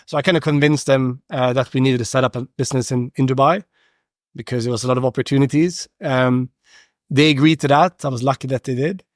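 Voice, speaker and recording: This is a man from Sweden in casual interview conversation. He builds his sentences piece by piece, pausing and repeating words as he goes. His voice sits at a middling pitch, and the studio sound is very dry and close. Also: steady tone, no echo. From Stockholm, Sweden. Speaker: podcast guest, agency founder. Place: podcast studio.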